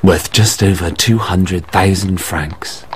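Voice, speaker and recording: A polite, quietly relentless gentleman in a radio play. His voice is deep and suave, with faint noise behind it. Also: fairly even intonation, takes it slowly. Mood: smooth, insistent.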